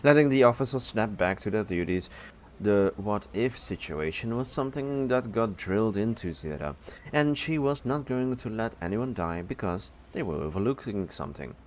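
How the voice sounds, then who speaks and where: Low-voiced man narrating, stumbling a little. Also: lively intonation, slight background noise. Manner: halting, stumbles over words and corrects himself. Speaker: narrator of an audio story. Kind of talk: scripted story narration.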